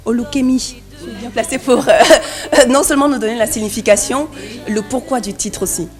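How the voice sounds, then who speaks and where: A woman's voice: on the high side, a little fast. A woman hosting a music TV show, asking questions with admiring enthusiasm and stammered restarts. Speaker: TV show host. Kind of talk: music TV interview and presenting. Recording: music playing under the voice.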